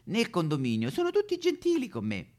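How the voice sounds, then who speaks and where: Man putting on a loud, boastful tycoon. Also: high-pitched, wildly swooping pitch, fairly quick, voice pushed up. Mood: boastful, chirpy. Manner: loud, bragging. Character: boastful, cheerful male Chinese immigrant tycoon settled in Rome. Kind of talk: comic character monologue.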